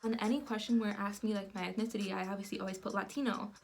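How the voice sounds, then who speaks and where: Young female vlogger, expressive storyteller with a mid-range voice. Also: medium pitch, very fast, a little hiss or hum. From United States. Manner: animated, expressive storytelling with many fillers. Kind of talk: storytime vlog.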